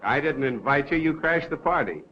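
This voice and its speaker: A man's voice: a little high, animated, normal speed, lightly acted. A man playing a suave, flippant amateur sleuth and newspaper columnist, bantering wryly in 1930s film style. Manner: wry, bantering. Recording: dull, noisy 1930s film soundtrack.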